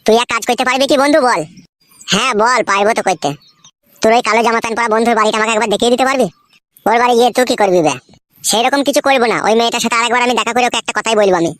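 A man's voice: very high voice, very fast, a bit bright, no echo. A man voicing a brash, foul-mouthed young cartoon villager with a rural West Bengal accent, grumbling in crude comic banter. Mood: scheming banter.

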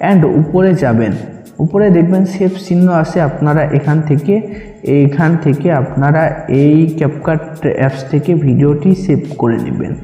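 A man's voice: a little high, dark tone, lo-fi sound. A man with a Bangladeshi accent giving an instructional, step-by-step app tutorial voice-over, repeating his directions as he goes. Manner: instructional, repetitive. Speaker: tutorial presenter. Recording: echoey, reverberant room.

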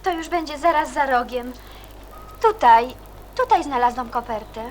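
Actress as high-voiced, earnest young girl. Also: normal speed, slight background noise, lightly acted. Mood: eager, helpful. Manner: earnest, gentle, eager to help. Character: kind, earnest, helpful girl named Kasia. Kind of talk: Polish radio play acting.

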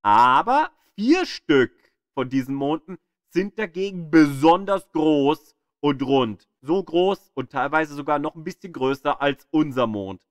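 A man's voice: a little high, lively intonation, measured pace, no echo, clean studio sound. A man reading scripted educational narration as an audio guide, drawing out key words for dramatic emphasis.

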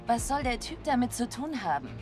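Sassy, lively young racing woman. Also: fairly high pitch, a little fast. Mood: cool, challenging. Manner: cool, teasing, challenging. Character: confident, sassy young female street racing insider. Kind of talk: dubbed German game cutscene acting. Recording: loud music over the voice.

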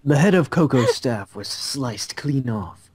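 A man's voice: mid-range voice, lively intonation, unhurried, darkish tone, husky. A young adult man reading story narration aloud in an amateur style, on a headset in a small room.

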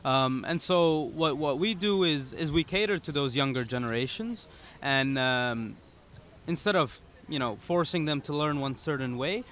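A man's voice: fairly high pitch, expressive, moderate pace, fairly bright tone. Young man explaining casually, faint outdoor noise. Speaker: interview guest, startup founder. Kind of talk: conversational interview, explanatory. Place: outdoor pop-up podcast booth at a conference. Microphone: boom-arm podcast microphone. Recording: outdoor event ambience behind voice. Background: outdoor conference ambience.

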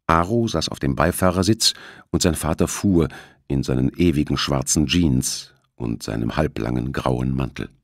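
A man's voice: rumbling low, normal speed, creaky, dry recording, studio quality. A man narrating a suspense novel as an audiobook, reading from a script. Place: close, dry studio recording.

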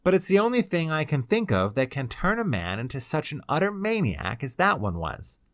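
Man doing a high, desperate trapped young man. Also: sing-song, normal speed, no room sound, clean studio sound. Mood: bitter. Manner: strained, urgent outbursts.

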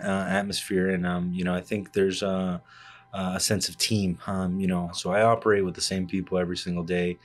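A man in his thirties from Cuba, raised in Miami, an orthopedic surgeon explaining his work in a measured way with many fillers, in a studio podcast on a desk mic. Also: sits low. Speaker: orthopedic surgeon, interview guest. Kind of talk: hospital podcast interview. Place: small studio set with plant and armchairs. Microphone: desk podcast microphone on arm.

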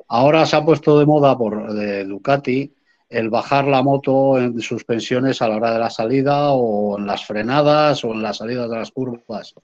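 A man's voice: mid-range voice, a little mellow. Polite man asking a technical question. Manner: polite, inquisitive. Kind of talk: live debate on a video call. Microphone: video call. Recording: dull video call audio.

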